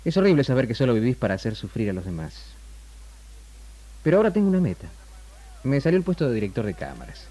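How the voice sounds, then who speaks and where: A troubled young Argentine camera director in 1960s radio drama style. The pitch is ordinary, but the delivery rises and falls dramatically. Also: male voice, big pitch swings, quick pace, pauses often, mellow. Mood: troubled, brooding. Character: troubled, self-doubting young man, television camera director.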